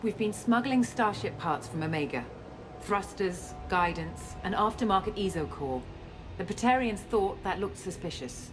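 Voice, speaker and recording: A woman playing a guarded, self-assured scientist and space operative in a video game, explaining things in a measured way. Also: medium pitch, animated, lightly acted. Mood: composed, explaining. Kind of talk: video game cutscene acting. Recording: music under the dialogue.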